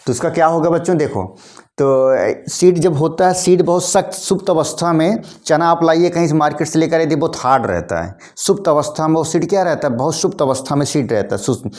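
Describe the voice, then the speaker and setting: Casting note: male teacher, hoarse a cold, mellow dark tone, repetitive classroom explaining. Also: fairly high pitch, fairly quick. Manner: repetitive, addresses students as kids, frequent throat clearing. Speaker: biology teacher. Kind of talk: classroom lesson addressing students.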